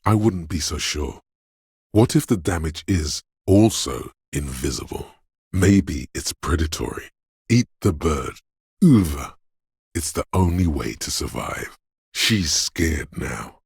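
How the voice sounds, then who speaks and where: A man voicing a menacing, paranoid inner voice of fear and aggression in a video game, gravelly and husky, ominous and deliberate. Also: rumbling low, big pitch swings, a little mellow, dry recording, clean studio sound, full character voice. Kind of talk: scripted game voice acting, second-person narration.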